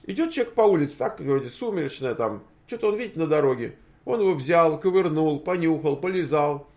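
A man in his sixties giving an informal, argumentative commentary, posing rhetorical questions and stammering through halting restarts. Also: a little high, lively intonation, a little mellow. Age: 60s. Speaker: streamer answering viewer comments.

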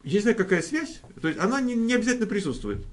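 Оживлённый болтливый мужчина-ведущий. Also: male voice, high-pitched, very animated, quick pace. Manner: joking, rambling, many fillers. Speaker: host. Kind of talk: casual live Q&A, reading viewer questions.

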